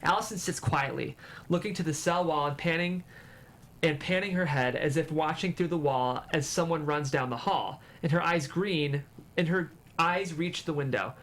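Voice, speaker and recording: A man's voice: fairly high pitch, moderate pace, a bit bright. A man reading stage directions as the narrator of a screenplay table read. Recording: faint room noise.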